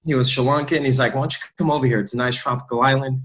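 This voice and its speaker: A man's voice: mid-range voice, fairly even intonation, very fast, a bit bright. A man in his thirties, a fitness trainer, telling his life story in an easygoing, upbeat way as a podcast guest recorded remotely. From Lebanon, raised in the US. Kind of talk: casual interview.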